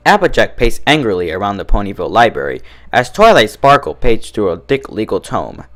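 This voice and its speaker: A man reading fan fiction aloud as an expressive storybook narrator. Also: fairly low pitch, a little fast.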